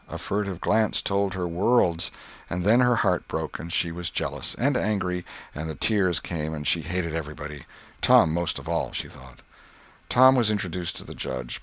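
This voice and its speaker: Male audiobook narrator, low pitch, wry measured storytelling, very dry sound. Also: no echo. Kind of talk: scripted literary storytelling.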